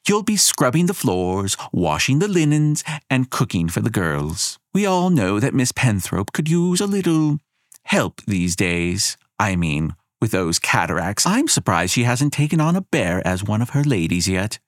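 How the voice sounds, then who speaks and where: A man voicing a haughty, sharp-tongued young fire goddess in a storybook reading, snippy and mocking. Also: lowish voice, big pitch swings, a little slow, no echo, studio quality, voice pushed up. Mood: smug.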